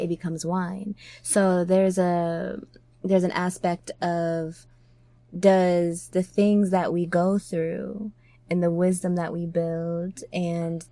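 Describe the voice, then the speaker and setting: A woman's voice: on the low side, level tone, takes it slowly, warm tone, no room sound. A woman podcast host chatting casually and reflectively, informal and emphatic, often checking in with her listener.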